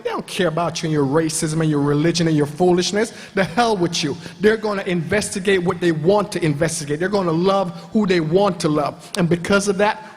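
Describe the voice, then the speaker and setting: A man from Jamaica speaking on a panel, emphatic and repeating phrases for effect. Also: sits high. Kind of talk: passionate motivational panel talk. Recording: long hall reverb.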